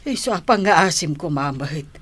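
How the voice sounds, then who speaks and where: A woman's voice: low voice, lively intonation, fairly quick, a bit bright, husky, heavily acted. A woman acting an anxious, loving elderly mother in an Ilocano radio drama, sighing, fretting and scolding. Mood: fretful scolding.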